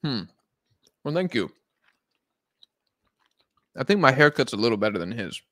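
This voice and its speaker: A man's voice: mid-range voice, big pitch swings, moderate pace, pushed and bright, no echo. An American man casually hosting a live football watch-along from his couch at home, rambling and answering chat comments as they come in. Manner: laid-back, rambling, answers chat on the fly.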